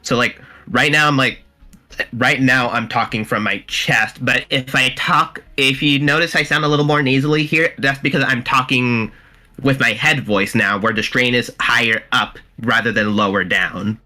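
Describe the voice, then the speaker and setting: A man with a strikingly bright, tense tone who explains voice technique in fast casual talk. He speaks into a modest home microphone, mid-pitched and eager. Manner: fast, explanatory, demonstrates voice tricks. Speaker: voice actor on commentary panel. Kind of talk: casual group chat. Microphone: low-quality home mic.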